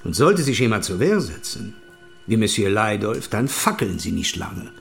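An actor playing a hot-blooded, shrewd French count in an audio drama, formal and given to French words. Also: male voice, on the low side, sing-song, moderate pace, vocal fry, faint music behind. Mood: grave, analytical.

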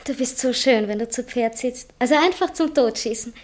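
An actress playing an adoring but proud, touchy society fiancée with an Austrian accent in a theatrical radio comedy, coquettish and self-assertive. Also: female voice, fairly high pitch, normal speed, natural voice.

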